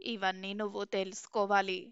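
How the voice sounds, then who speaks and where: Lady doing a bossy mother-in-law in a cartoon, lecturing, lower than her usual, dry dull sound. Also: flat delivery, a little fast, smooth, no echo, close to an everyday voice. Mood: stern. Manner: stern, lecturing. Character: strict older mother-in-law, scolding.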